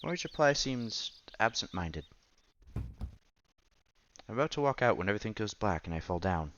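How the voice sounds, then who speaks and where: Low-voiced man narrating a game. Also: big pitch swings, moderate pace, a little hiss or hum. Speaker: streamer reading a visual novel aloud. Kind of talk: read-aloud game narration with casual asides. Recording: slightly dull, faint game music behind. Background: quiet game music.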